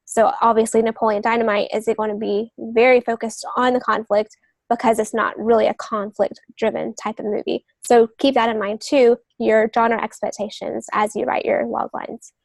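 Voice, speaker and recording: A woman's voice: on the high side, level tone, moderate pace, vocal fry, no room sound. A woman guest on a podcast, explaining writing craft casually, step by step. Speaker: podcast guest, novelist and literary agent.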